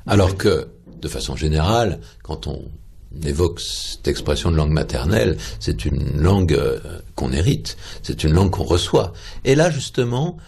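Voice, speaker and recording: A man's voice: a bass voice, sing-song, normal speed, vocal fry, a little mellow, slightly rough. A man hosting a radio interview, asking thoughtful questions and addressing his guest formally.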